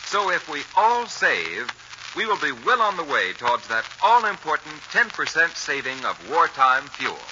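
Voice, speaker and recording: A man's voice: a little high, big pitch swings, moderate pace. A man with an American accent, reading a script as a formal, emphatic 1940s radio announcer. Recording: muffled, noisy 1940s radio recording.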